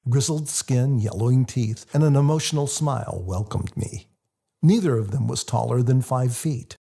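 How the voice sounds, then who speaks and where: A man reading his own book as scripted audiobook narration, in a husky, gravelly voice. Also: medium pitch, normal speed, warm tone.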